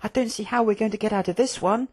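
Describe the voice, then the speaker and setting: Fantasy sidekick, read by a narrator: timid male, high pitch, hushed, fretful, defeatist. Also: quick pace, slightly rough, lightly acted. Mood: frightened. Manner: hushed, anxious. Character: timid, frightened, despondent male companion.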